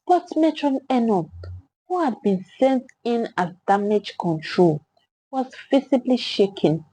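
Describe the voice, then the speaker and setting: A woman's voice: fairly high pitch, expressive, a little slow, warm tone, slightly rough, rough recording. A woman with a Nigerian accent narrating a scripted story in a solemn, theatrical, dramatic way.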